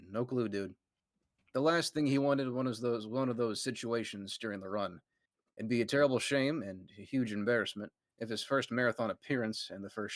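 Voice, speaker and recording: A man's voice: medium pitch, dry recording, studio quality. A man reading a fan fiction aloud on a stream with friends in a conversational way, breaking for amused asides.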